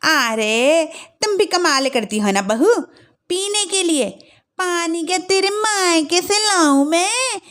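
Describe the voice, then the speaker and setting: A woman voicing a middle-aged, rustic mother-in-law in a story cartoon, with a rural North Indian Hindi accent and folksy, drawn-out grumbling and exclamations. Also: very high voice, lively intonation, a bit bright, voice pushed up, exaggerated voice. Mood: defensive, folksy. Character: dramatic rustic village mother-in-law, complaining and easily amazed. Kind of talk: moral-story cartoon voice acting.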